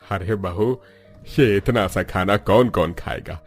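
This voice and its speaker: A man voicing an older father-in-law in a cartoon family drama, expressive and familiar. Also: low-pitched, slow, slightly rough, faint music behind, heavily acted. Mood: surprised.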